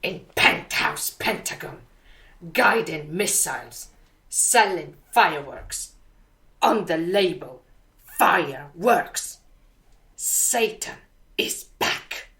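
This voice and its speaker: A woman acting in a radio drama as an earnest budding performance poet, speaking deliberately. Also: lowish voice, animated, fairly bright tone, full character voice. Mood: dark, incantatory.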